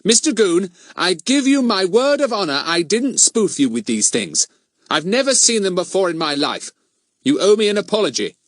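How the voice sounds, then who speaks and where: A well-spoken schoolboy played by a man talks earnestly and with some dignity. His pitch is high and lively, a plucky young leader from a children's storybook. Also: normal speed, dry recording. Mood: indignant dignity. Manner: earnest, articulate.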